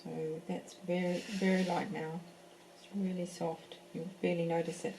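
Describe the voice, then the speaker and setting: A woman's voice: fairly low pitch, flat delivery, normal speed, mellow, a little hiss or hum. A woman teaching a live painting class from her home, chatting casually as she works and thinking aloud with fillers and restarts. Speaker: art teacher. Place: home in a French village.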